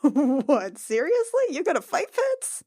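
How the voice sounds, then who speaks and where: A woman plays a guarded young woman adventurer in a tabletop fantasy game. Her delivery is unhurried and halting, colloquial and wry, in a very dry recording. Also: sits high, wildly swooping pitch, a little mellow, no echo, pitched above the performer's own voice, full character voice. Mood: surprised, curious.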